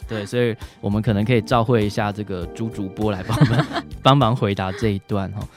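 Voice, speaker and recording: A man's voice: fairly low pitch, fairly even intonation, a little fast, a little mellow. A man with a Taiwanese Mandarin accent, a doctor giving informal advice as a guest, often ending phrases on small particles. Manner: frequent trailing particles, role-plays examples in passing. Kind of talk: informal question-and-answer advice. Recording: music behind the voice.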